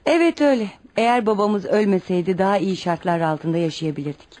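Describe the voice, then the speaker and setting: A woman's voice: mid-range voice, fast, a little mellow, close to an everyday voice. An actress in a radio play playing a poor, devout widowed mother, protective and anxious, who speaks in an earnest, instructive way. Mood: sociable, curious. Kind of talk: radio theater acting.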